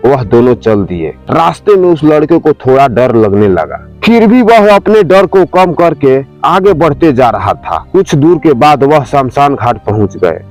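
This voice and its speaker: A man's voice: mid-range voice, darkish tone. A man reading a scripted horror story as its narrator, with music playing behind him. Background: background music.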